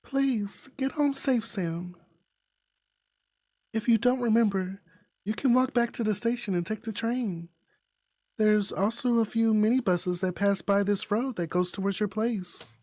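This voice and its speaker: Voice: mid-range voice, a little slow, a little mellow, dry recording, pitched above the performer's own voice, natural voice. A performer reading a visual novel voices a shy, anxious young woman in love, hesitant, earnest and apologetic. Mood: tender.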